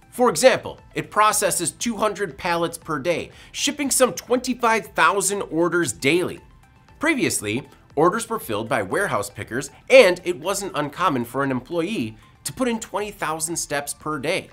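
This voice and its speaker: A man in his forties presenting scripted news narration in a measured, emphatic way with dry asides. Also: sits high, fairly bright tone. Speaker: video news presenter, editorial director. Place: indoor studio. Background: music.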